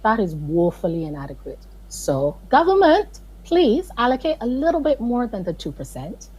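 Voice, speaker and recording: Barbadian woman guest, husky, emphatic interview talk. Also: on the high side, big pitch swings, moderate pace, darkish tone, low-quality recording. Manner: earnest, emphatic, pleading in places. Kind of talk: video call interview.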